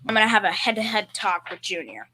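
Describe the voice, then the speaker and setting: A woman's voice: mid-range voice, big pitch swings, quick pace, pushed and bright, a little hiss or hum. A young female performer playing a bumbling, confidently clueless working-class dad in a school old-time radio comedy, with folksy bad grammar. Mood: blustering, confident. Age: young teen.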